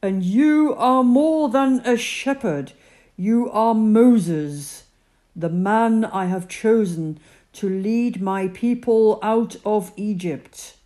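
A woman's voice: medium pitch, slow, mellow, pitched above the performer's own voice, lightly acted. A woman voicing God speaking from a burning bush, commanding and reassuring.

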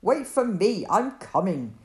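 A woman's voice: mid-range voice, sing-song, unhurried, darkish tone, reverberant. A woman voicing a grumpy, stubborn male shepherd who grumbles and snaps crossly, in a storybook reading.

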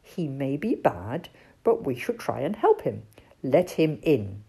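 A woman voicing a wise, kindly old male owl in a storybook, grave and gently admonishing. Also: a bass voice, very animated, slow pace, creaky, breathy edge, pitched below the performer's own voice, exaggerated voice. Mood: reasonable.

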